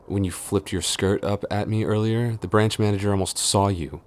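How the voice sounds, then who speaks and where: A man's voice: on the low side, fairly even intonation, normal speed, lightly acted. A man playing a flustered tsundere boyfriend and office supervisor in an intimate audio roleplay, stammering, exasperated and defensive as he scolds. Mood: scolding, alarmed.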